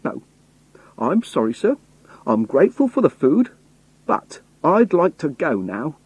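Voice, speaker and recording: Guy doing a down on his luck sailor in an audiobook, whining and protesting, rough, pitched up and very animated. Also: measured pace, dry recording, exaggerated voice, poor audio. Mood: angry protest. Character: indignant hard-up male sailor, pleading and defiant.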